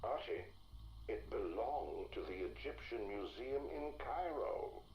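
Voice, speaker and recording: In a roomy, faintly noisy recording, an older gentleman scholar with a scheming streak. He is wry and persuasive, often hushed, with theatrical swings in pitch. It plays like a period video game audio log. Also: expressive, takes it slowly, highs cut off. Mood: dry, wry.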